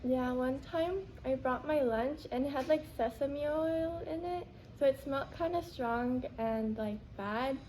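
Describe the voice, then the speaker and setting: A woman's voice: sits high, unhurried, few pauses, mellow, slight background noise, poor audio. A woman giving casual interview answers, using frequent "like" fillers.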